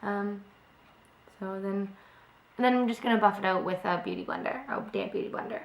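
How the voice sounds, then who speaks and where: A woman's voice: mid-range voice, big pitch swings, brisk, gravelly. A young woman who is a little sick, chatting casually through a makeup tutorial with frequent ums, filmed on a close webcam in her home kitchen. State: slightly sick, voice a bit off. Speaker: beauty vlogger. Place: home kitchen by a window, indoors. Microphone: webcam at close range. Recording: echoey room sound.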